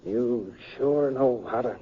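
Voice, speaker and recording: A man's voice: medium pitch, takes it slowly, lightly acted. An American man playing a kindly, flustered small-town sheriff in a 1950s radio western, folksy and stammering. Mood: grateful, kindly. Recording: muffled, slightly noisy old radio recording.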